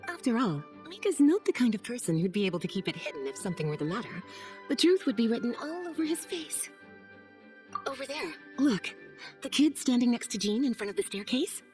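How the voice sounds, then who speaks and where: A woman's voice: on the high side, sing-song, fairly quick, a little mellow. A woman voicing a composed, affectionately teasing lady knight in a video game, with a coy and poised manner. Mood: composed, explaining. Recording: faint music behind.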